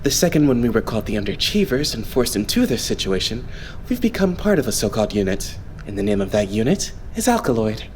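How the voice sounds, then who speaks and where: Polite young idol leader with lilting pitch, a man acting. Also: very animated, a little hiss or hum. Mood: calm explaining. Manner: polite, explanatory, measured. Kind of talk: anime game fandub acting.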